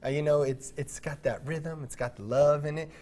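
A man's voice: on the high side, steady tone, normal speed, dark tone. A man in his thirties hosting a TV interview in a studio, friendly and chatty, laughing easily. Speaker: TV show host.

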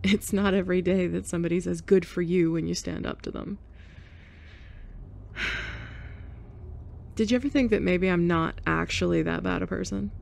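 A woman's voice: lowish voice, steady tone, moderate pace, creaky, long pauses, a little mellow. A young woman playing a blunt, sarcastic former bully in an audio roleplay, sighing and scoffing as she talks. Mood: confessional. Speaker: ASMR roleplay performer. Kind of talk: F4M ASMR roleplay.

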